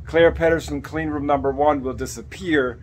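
Farm vlogger, male, thirties, hoarse from coughing, casual and chatty, phone mic in a workshop. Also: fairly high pitch, normal speed, a little hiss or hum, poor audio. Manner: chatty, explaining as he points around. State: raspy from coughing. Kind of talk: casual vlog to camera. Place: cluttered indoor workshop. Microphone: phone camera at arm's length.

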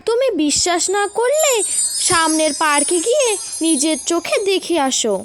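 A woman's voice: very high-pitched, sing-song, moderate pace, pitched above the performer's own voice. A woman voicing a gossiping, insistent female neighbour bird in a children's cartoon. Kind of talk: children's cartoon story voice acting. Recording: music behind the voice.

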